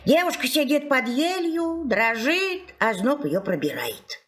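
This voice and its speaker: A woman storyteller giving an expressive scripted fairy-tale narration. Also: high voice, unhurried. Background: music.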